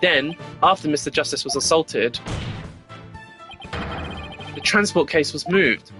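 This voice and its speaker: A man's voice: fairly high pitch, measured pace, close to an everyday voice. A man voicing an earnest, confident male defense attorney in a courtroom game, speaking assertively. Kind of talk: dramatic visual novel courtroom reading. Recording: game music behind the voice.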